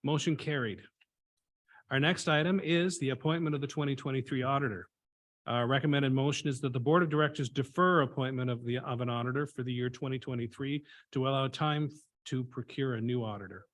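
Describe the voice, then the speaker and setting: A man's voice: mid-range voice, steady tone. Man chairing a board meeting. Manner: brisk, procedural.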